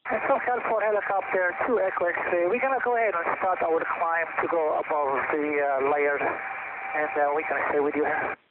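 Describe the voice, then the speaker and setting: A man speaking calmly as a helicopter pilot in clipped, businesslike aircraft radio calls. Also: a little high, normal speed. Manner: calm, businesslike. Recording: very noisy narrow radio transmission, aircraft roar.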